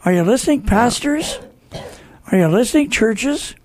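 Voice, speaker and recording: A man's voice: very high voice, lively intonation, moderate pace. An older American man hosting a talk show, earnestly exhorting listeners in a conversational preaching style with repeated phrases.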